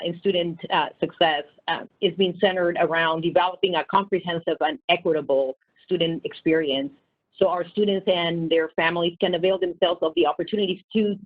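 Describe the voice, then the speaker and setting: A middle-aged woman with a Peruvian Spanish accent speaks earnestly and at a measured pace, addressing a virtual town hall as a college vice chancellor. Her voice sits a little low, and it comes through a tinny, phone-like video-call line. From Peru.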